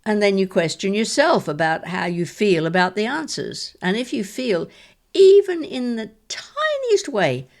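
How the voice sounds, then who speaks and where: An actress playing a wry, shrewd elderly mother and grandmother in an audio drama, speaking in a measured, reflective way. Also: medium pitch, sing-song. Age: older.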